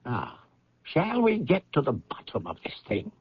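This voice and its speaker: An actor playing a firm, probing doctor with a husky, gravelly voice, questioning deliberately in radio drama style. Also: male voice, a little high, wildly swooping pitch, loud music behind the voice. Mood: firm, pressing. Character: probing, firm doctor confronting a troubled woman. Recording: dull old radio recording.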